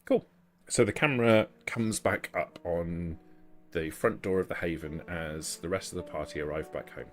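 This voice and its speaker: A man's voice: low-pitched, normal speed. A man as game master, narrating and explaining a tabletop roleplaying game in an explanatory, improvised way.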